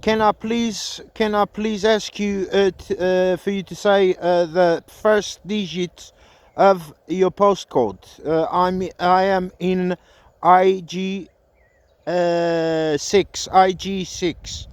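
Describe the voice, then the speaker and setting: A man's voice: high voice, steady tone, slow, clear, resonant tone, no room sound. A man making radio contact as a CB operator, speaking hesitantly and repeating himself. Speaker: CB radio operator testing an antenna. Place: outdoors in a park.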